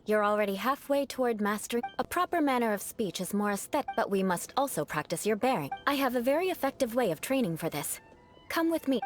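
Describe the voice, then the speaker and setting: A woman's voice: on the high side, expressive, quick pace, music in the background, natural voice. A woman playing a proud young noblewoman knight with grandiose, formal aristocratic speech in an anime video game. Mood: pleased, instructive.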